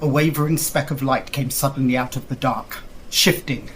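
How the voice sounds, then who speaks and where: A man's voice: mid-range voice, moderate pace, very noisy, natural voice. An actor playing a distressed, insistent young huntsman in a period Gothic audio drama, speaking in formal old-fashioned diction. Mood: grave, weary. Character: distressed lost huntsman recounting his ordeal, insistent young husband.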